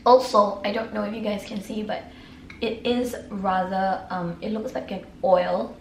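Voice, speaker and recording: A woman from Singapore with a hoarse, raspy voice from a sore throat, chatting casually through her nightly skincare products and often clearing her throat. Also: mid-range voice, flat delivery, unhurried, echoey room, slight background noise, poor audio. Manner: chatty, filler-heavy, keeps clearing throat. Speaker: beauty vlogger. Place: room.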